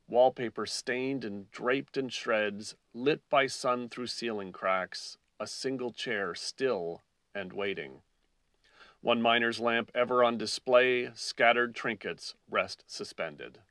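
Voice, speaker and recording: A man's voice: medium pitch, slow, a bit bright, no room sound, distant mic. A man hosting a poetry podcast, reading verse aloud, recorded a little away from the mic. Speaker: podcast host and poet.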